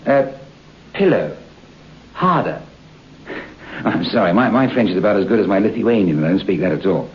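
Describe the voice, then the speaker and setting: An actor playing a restless, romantic writer who muses haltingly aloud in an old-time radio drama. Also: male voice, on the low side, animated, close to an everyday voice. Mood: genial, curious. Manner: musing aloud, halting, talking to himself. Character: restless English writer on holiday, romantic, brooding. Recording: muffled noisy old radio broadcast.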